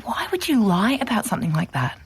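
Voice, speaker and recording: An actress playing an earnest, pushy young podcaster, insistent and persuasive, in audio drama. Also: female voice, medium pitch, sing-song, quick pace, husky. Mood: probing, insistent. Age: young adult. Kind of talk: naturalistic audio drama acting.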